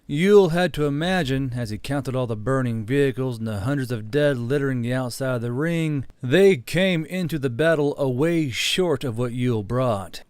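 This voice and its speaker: Give me a man narrating a fiction audiobook, with a middle-pitched voice in a close, very dry recording. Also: clear, resonant tone, no echo.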